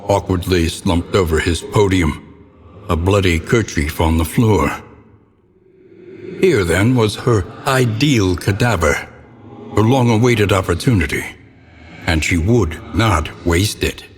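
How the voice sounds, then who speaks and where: Gothic horror game narrator, low-pitched, slow and solemn, theatrical, grave. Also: male voice. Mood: grave, macabre. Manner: theatrical, solemn, deliberate delivery. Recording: long cavernous reverb, noticeable background noise.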